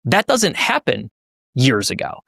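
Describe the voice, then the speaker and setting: A man speaks as a podcast host in a conversational way. His pitch is average for a man and the sound is dry, free of echo. He builds his commentary emphatically, repeating key points. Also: huge pitch swings, unhurried, vocal fry, sharp tone.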